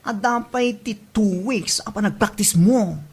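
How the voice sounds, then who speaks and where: A woman playing a pushy, encouraging best friend in an Ilocano comedy drama, with a husky voice. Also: medium pitch, a little slow, darkish tone. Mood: teasing.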